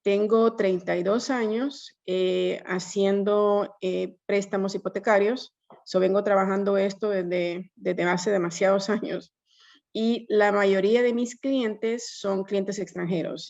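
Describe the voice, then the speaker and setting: A woman's voice: mid-range voice, steady tone, a little slow, gravelly, darkish tone. A woman in her fifties from Guatemala, a mortgage loan officer introducing herself on a video call, talking conversationally and dropping in English fillers. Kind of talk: webinar self-introduction. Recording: dull lo-fi video call audio.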